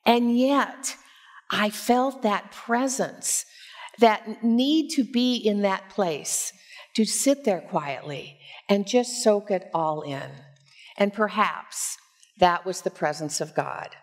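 A woman in her sixties preaching as a pastor, with measured, expressive storytelling, on a headset mic in a church. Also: mid-range voice. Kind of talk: sermon with personal storytelling. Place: church sanctuary, at a pulpit.

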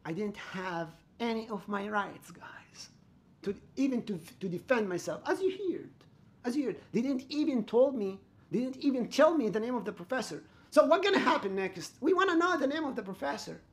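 A man's voice: extremely high pitch, animated, normal speed. A man giving an aggrieved, repetitive commentary on his own dispute, addressing viewers directly. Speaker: student telling his own complaint. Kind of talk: personal commentary over recordings.

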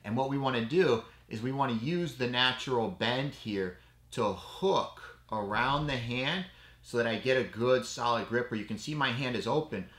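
A man's voice: mid-range voice, moderate pace, fairly bright tone. A man in his thirties, a judo coach giving a casual step-by-step how-to demonstration, checking in with "okay?" as he goes. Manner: casual, explanatory, frequent "okay?" check-ins. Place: small storage room with mats, indoors. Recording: echoey small room, faint noise.

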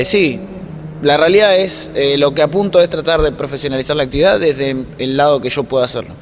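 A man in his twenties with an Argentine accent, chatting casually as an interview guest into a handheld microphone. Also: medium pitch. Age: 20s. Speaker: interview guest, racing announcer and workshop mechanic. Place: indoor block-walled room at a racetrack. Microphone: handheld interview microphone held toward him. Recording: noisy room, clear ambient background noise.

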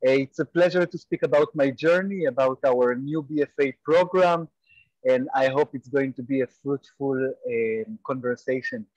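A man's voice: mid-range voice, normal speed, a little mellow, dry recording, muffled recording. A man in his forties from Israel with an Israeli accent, a guest professor explaining earnestly and steadily on a webinar call. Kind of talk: webinar interview answer. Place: home room on a video call, virtual background. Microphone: earphone mic on a video call.

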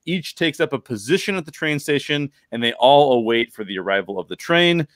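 A man's voice: medium pitch, lively intonation, fairly quick, fairly bright tone, no room sound. A middle-aged man with an American accent, hosting a podcast in an enthusiastic, informal, conversational way into a close microphone. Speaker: podcast host. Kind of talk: conversational podcast introduction and film discussion. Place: bedroom at home. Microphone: close podcast microphone with headphones.

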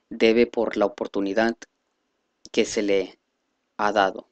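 A man reading a speech aloud in a halting, careful way, stumbling over words now and then. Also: on the low side, no room sound. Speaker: reader presenting a selected speech.

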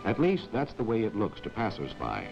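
An actor playing a wry, knowing narrator of a 1940s spy training film, with a clipped, sardonic delivery. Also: male voice, on the low side, wildly swooping pitch, moderate pace, vocal fry, full character voice. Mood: explanatory. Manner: sardonic, clipped, addressing the character. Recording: muffled 1940s film soundtrack, music behind.

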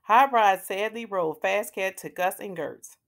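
A woman reading a children's storybook aloud in a deliberate, expressive way for young listeners. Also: medium pitch, vocal fry. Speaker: children's read-aloud host. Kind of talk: scripted children's storybook narration.

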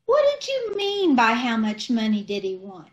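A woman's voice: a little high, huge pitch swings, highs cut off. A woman playing a scatterbrained, breezy middle-aged wife with earnest, muddled logic in an old-time radio comedy. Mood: innocent, chatty. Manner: earnest malapropisms, unhurried.

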